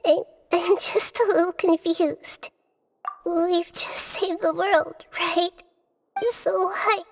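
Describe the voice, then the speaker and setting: A woman's voice: very high voice, heavily acted. A woman playing a small, childlike goddess of wisdom in a video game, earnest, precise and thoughtful, with music behind. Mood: confused, sad. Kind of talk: dramatic video game voice acting.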